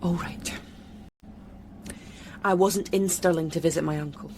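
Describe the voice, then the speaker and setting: A woman's voice: fairly low pitch, steady tone, a little slow, darkish tone, a little hiss or hum. An actress playing a proud female police detective in a radio drama, guarded and defensive under questioning. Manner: guarded, indignant, reluctant.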